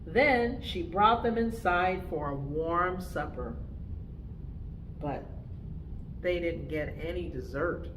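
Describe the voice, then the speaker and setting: A woman hosting a preschool storytime, speaking in simple, expressive phrases for young children. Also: lowish voice, slow pace, pauses often, noisy background. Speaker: children's librarian and storytime host. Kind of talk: preschool storytime reading and narration.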